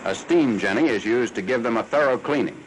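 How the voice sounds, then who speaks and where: An American man reading scripted 1940s military newsreel narration in a formal, factual, measured announcer style. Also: mid-range voice. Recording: noisy 1940s newsreel film soundtrack.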